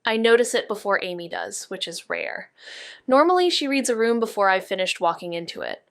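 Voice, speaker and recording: A woman playing an awkward, dryly wry amateur sleuth in an audio drama, speaking in a halting, hedging way. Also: medium pitch, very animated, a bit bright, dry recording, clean studio sound. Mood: wry, reflective. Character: awkward young female amateur sleuth, narrating noir-style. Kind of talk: audio drama dialogue and noir narration.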